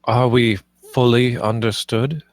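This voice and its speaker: A man's voice: mid-range voice, little pitch movement, slow, no echo, lightly acted. A man playing a stern, controlling master of a household in a dramatic script read, speaking in clipped, formal commands. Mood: coldly instructing.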